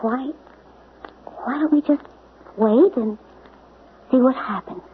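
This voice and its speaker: A woman's voice: a little high, slow, background noise. A doubtful woman friend on old radio. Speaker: Ruthie, a friend of the narrator. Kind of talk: 1970s radio drama acting. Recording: tinny, lo-fi old radio broadcast.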